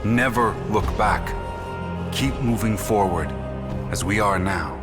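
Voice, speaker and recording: A man voicing a steadfast, solemn warrior in a video game, speaking in a measured, earnest way. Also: fairly low pitch, over loud music. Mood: solemn, encouraging.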